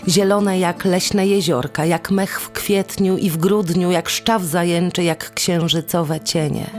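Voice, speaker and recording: A woman narrating a literary folktale as a storyteller, in lyrical, measured phrasing. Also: on the low side, creaky.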